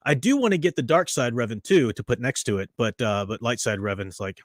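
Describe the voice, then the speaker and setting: A man's voice: mid-range voice, expressive, brisk, no echo, clean studio sound. A tired man hosting a casual livestream chat from home. He talks in a rambling, chatty way, with lots of fillers and restarts. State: tired after a long day. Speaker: livestream host and reviewer.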